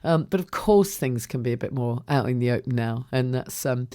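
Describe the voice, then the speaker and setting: A woman hosting a podcast in a croaky voice, chatting casually and rambling with many ums and restarts. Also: a bass voice, animated, normal speed, mellow, dry recording, clean studio sound. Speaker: podcast host. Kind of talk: casual solo podcast chat.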